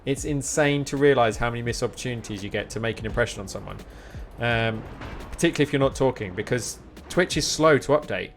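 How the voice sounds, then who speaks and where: Male live streamer, mid-pitched, informal and rambling, encouraging, faint music behind. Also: fast talker, few pauses. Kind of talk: casual chat with stream viewers.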